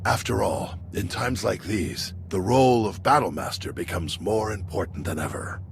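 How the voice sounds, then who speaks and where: An actor playing an earnest, courteous Jedi master in a video game, speaking in formal, measured phrases. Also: male voice, fairly low pitch, expressive, a little hiss or hum. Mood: solemn, grateful. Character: earnest, solemn, courteous male Jedi elder. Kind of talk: video game cutscene acting. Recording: clear music under the voice.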